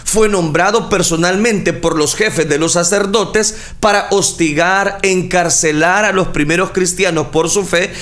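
Man, high voice, preacher, earnest sermon, talks straight to the congregation. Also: fairly even intonation, a little slow, hardly any pauses, fairly bright tone. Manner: earnest, addresses listeners directly, frequent fillers. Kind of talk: sermon on scripture.

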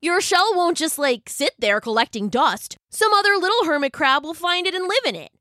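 An enthusiastic male hermit crab with a sentimental streak, performed by a woman. The voice is high, chatty and eager, as in a children's story. It is recorded dry. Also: very animated, moderate pace, fairly bright tone, no echo. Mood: excited.

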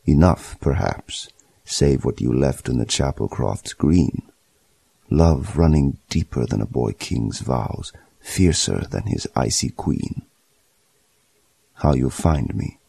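A man's voice: extremely low pitch, lively intonation, slow, vocal fry, mellow, husky, no room sound. A man reciting a poem as a dramatic literary reading. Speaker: poetry reader.

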